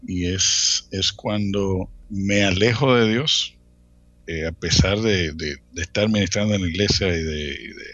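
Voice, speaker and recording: A man in his late thirties with a Dominican accent, telling his own story casually as an interview guest, often pausing and repeating words. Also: deep voice, fairly bright tone, no echo, lo-fi sound. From Dominican Republic. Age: late 30s. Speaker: interview guest, church ministry worker.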